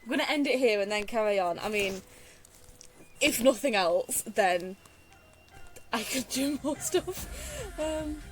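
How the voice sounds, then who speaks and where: A woman's voice: on the high side, expressive, measured pace, pauses often, faint music behind. A woman giving casual gameplay commentary as a streamer, musing aloud as she plays. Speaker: gaming streamer.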